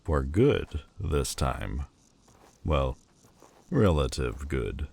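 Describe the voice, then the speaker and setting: A prickly, proud Lord of Demons, played by a man in a fantasy audio roleplay. His voice sits extremely low and he takes his time over every word. He sounds haughty and sardonic, with a grudging tenderness underneath. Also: very animated, extremely slow, gravelly, darkish tone, slightly rough, heavily acted. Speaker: voice actor.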